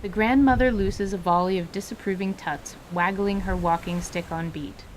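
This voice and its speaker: A woman narrating a scripted radio theater story in a measured, descriptive manner. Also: medium pitch, a little hiss or hum, poor audio.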